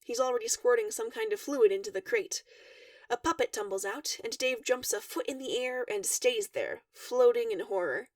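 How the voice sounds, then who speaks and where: A high-voiced woman reads fiction aloud with big swings in pitch. She narrates expressively, and sounds slightly distant from the mic in a dry space. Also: expressive, moderate pace, darkish tone, no room sound. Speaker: fan fiction reader. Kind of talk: expressive read-aloud of a story.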